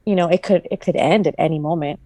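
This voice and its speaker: A woman's voice: lowish voice, fast, dark tone, no echo. A woman in a casual, reflective chat, speaking earnestly and informally as she thinks aloud.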